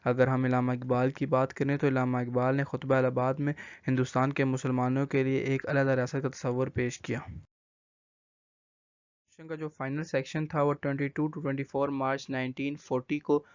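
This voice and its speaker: A young Pakistani man, a university student, giving a semi-scripted presentation in a halting way, often stumbling and repeating words. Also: mid-range voice, completely flat delivery, clear, resonant tone, no room sound, muffled recording. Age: young adult.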